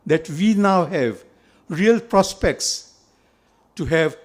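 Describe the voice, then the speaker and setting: A man's voice: a little high, expressive, slow. A man giving a proud, emphatic short speech to camera. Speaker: community speaker.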